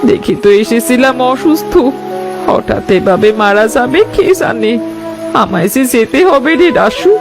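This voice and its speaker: A woman's voice: on the high side, animated, measured pace, creaky. A woman playing an affectionate, motherly old village aunt in a cartoon story. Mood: distressed. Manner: doting, motherly. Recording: music bed behind the voice.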